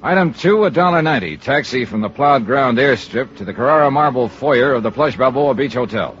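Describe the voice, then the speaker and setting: An actor playing a cool, wry American insurance investigator in a 1950s radio detective serial, with a dry, clipped, sardonic delivery. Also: male voice, medium pitch, lively intonation, fairly quick, fairly bright tone, close to an everyday voice. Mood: brisk narration. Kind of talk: 1950s radio detective acting, first-person narration. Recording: muffled 1950s radio recording with music.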